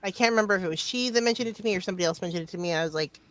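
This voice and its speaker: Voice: medium pitch, rapid-fire, fairly bright tone. A podcast co-host chatting casually, flustered and repeating phrases. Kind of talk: casual chat.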